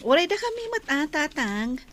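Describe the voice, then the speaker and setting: A woman's voice: high voice, big pitch swings, moderate pace, fairly bright tone. A woman playing a polite, affectionate young daughter in an Ilocano radio drama, with an Ilocano accent.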